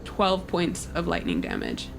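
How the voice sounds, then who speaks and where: A woman playing a tabletop game, narrating her character's actions in a measured, pensive way. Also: on the low side, wildly swooping pitch, vocal fry, over music.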